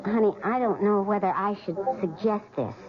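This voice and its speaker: A woman's voice: medium pitch, moderate pace, background noise, lightly acted. An actress playing a chatty, scatterbrained female best friend in a radio drama, talking in a gossipy, hesitant way. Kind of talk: 1970s radio drama acting. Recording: lo-fi old radio broadcast.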